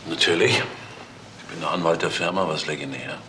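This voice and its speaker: An actor playing a slick, evasive company lawyer in a crime radio drama, curt and indignant. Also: male voice, very deep voice, normal speed. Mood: indignant, guarded. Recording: very noisy recording with clicks.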